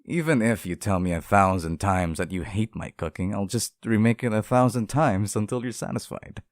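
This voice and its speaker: A man's voice: lowish voice, normal speed, dry recording, studio quality, lightly acted. A man acting out a devoted, possessive demon in an intimate ASMR roleplay, sweet and tender with an undercurrent of menace. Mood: doting, eager.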